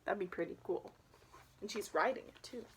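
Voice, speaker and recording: A woman's voice: mid-range voice, moderate pace, slight background noise, rough recording. A young woman reading a children's picture book aloud, with chatty asides to her listeners. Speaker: storybook reader.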